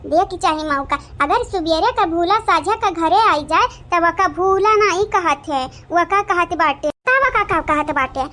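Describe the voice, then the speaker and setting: An actor with an Awadhi accent voicing a chattering, dramatic village woman in a comic cartoon, in a childlike squeaky voice. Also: a little slow, hardly any pauses, sharp tone, exaggerated voice. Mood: animated banter.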